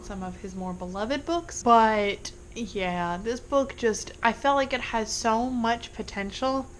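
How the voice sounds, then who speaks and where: A woman's voice: fairly high pitch, slow pace, a little hiss or hum. A young woman with a congested voice, chatting casually through a monthly book wrap-up with rambling asides. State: congested from illness. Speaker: book vlogger.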